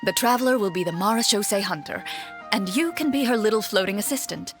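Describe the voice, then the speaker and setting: A composed woman guiding players as game master in a video game played by an actress. Her voice sits at a medium pitch, courteous and formal, with faint music behind it. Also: lively intonation, natural voice. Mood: composed, instructive. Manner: measured, formal, instructive. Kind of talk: video game character acting.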